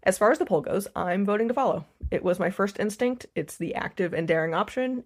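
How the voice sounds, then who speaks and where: A woman recording a listener voice message, giving prepared fan commentary in a measured, articulate way. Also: lowish voice, vocal fry.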